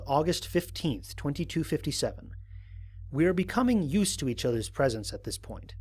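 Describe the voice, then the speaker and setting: A man playing an observant, analytical space explorer, narrating formal mission logs in a measured, precise way for an audio drama. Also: medium pitch, slightly rough. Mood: measured, reflective. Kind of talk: scripted first-person audio drama narration.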